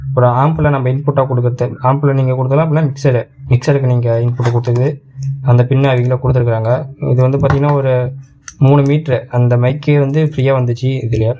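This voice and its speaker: A man's voice: medium pitch, mellow, slight background noise. A man giving a casual, colloquial product review, explaining things repetitively to viewers as a tech channel host.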